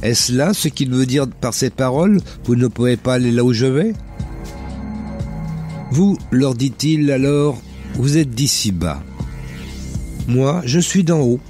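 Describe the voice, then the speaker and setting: A man with a cold and a slightly hoarse voice, hosting a radio quiz and reading Bible passages aloud with joking asides. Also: mid-range voice, expressive, unhurried, long pauses, darkish tone, soft background music. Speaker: radio host. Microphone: studio microphone.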